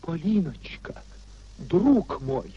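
Tormented, high-minded husband in vintage radio theatre: mellow, slightly husky, declamatory, repeats himself in pleading. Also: male voice, very animated, drawn-out words, heavily acted. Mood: earnest pleading. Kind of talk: 1950s Russian radio theatre acting. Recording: muffled 1950s radio play recording.

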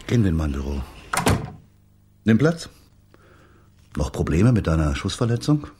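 An actor playing a gruff, cynical police detective with a husky voice and a sardonic manner in a radio play. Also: male voice, deep voice, very animated, normal speed, background noise. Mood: sardonic, matter-of-fact. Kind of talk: naturalistic radio play acting.

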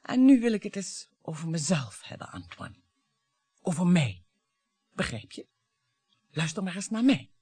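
A woman's voice: on the low side, sing-song, unhurried, pauses often, warm tone, husky, no room sound, heavily acted. An actress playing a bitter, mocking wife in her fifties in a radio play, with a theatrical way of talking. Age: 50s. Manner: theatrical, mocking, swinging between coaxing and scorn. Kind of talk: radio drama acting.

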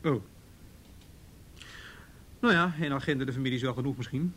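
A man's voice: medium pitch, moderate pace, fairly bright tone, slight background noise, natural voice. A man acting in a radio play as a patient, principled police officer on duty, in naturalistic dialogue. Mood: calm, reserved.

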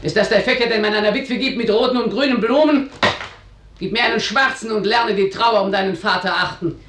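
A woman's voice: medium pitch, brisk, echoey room, background noise, lightly acted. An actress playing a domineering, stern middle-aged widow who rules her house, speaking in curt, haughty commands in a German radio drama. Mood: commanding.

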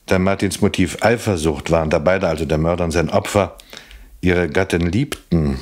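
An actor in a radio play playing a shrewd police inspector, formal, courteous and pleased with himself. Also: male voice, deep voice, moderate pace. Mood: self-satisfied, formal. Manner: formal, self-satisfied, courteous.

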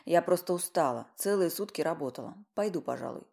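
A woman's voice: on the low side, animated, a little fast, vocal fry, a little mellow. A woman acting out a snappy, tired woman doctor. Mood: weary. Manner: snappy, sardonic. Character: tired, sarcastic, irritable 35-year-old woman doctor.

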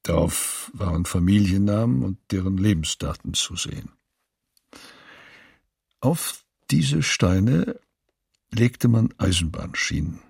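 A man's voice: sits low, lively intonation, slow, gravelly, long pauses, darkish tone, breathy edge, dry recording, clean studio sound, full character voice. An actor playing a male witness who grimly describes atrocities in a documentary radio drama. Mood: grim, factual.

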